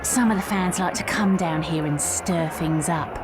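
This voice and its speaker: A woman voicing a streetwise, no-nonsense young rat sailor in a video game, with an English accent and a brisk manner. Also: lowish voice, over loud music, lightly acted, poor audio. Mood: matter-of-fact. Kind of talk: video game cutscene acting.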